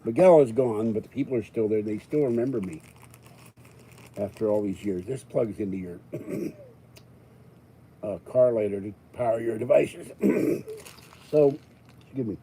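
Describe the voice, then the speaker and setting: Older guy from the states rambling to his channel, kinda deep warm voice, coughs and jokes a lot. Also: a little slow, long pauses, low-quality recording. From Pittsburgh, United States. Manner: wandering, jokey asides, frequent throat-clearing and coughs. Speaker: YouTube channel host. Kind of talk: rambling casual monologue to viewers.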